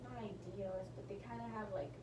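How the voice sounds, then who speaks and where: A woman's voice: mid-range voice, moderate pace, reverberant, recorded from a distance, noisy background. Nasal, tired woman reading tarot. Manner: rambling, self-correcting, apologetic, drops into whispers. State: nasal and tired from a sinus infection. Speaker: tarot reader. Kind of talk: casual pick-a-card tarot reading.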